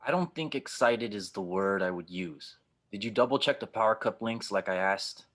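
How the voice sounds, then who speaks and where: A man playing a gruff, skeptical, protective pilot with a husky voice in an audio drama read. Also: lowish voice, normal speed, fairly bright tone, natural voice. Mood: dry, grumbling. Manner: dry, doubting.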